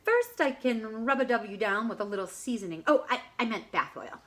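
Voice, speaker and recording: A woman's voice: fairly high pitch, animated, fairly quick, fairly bright tone, voice pushed up. A woman playing a sly, gloating big bad wolf. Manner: sing-song, gloating, theatrical. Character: sly hungry male wolf, menacing.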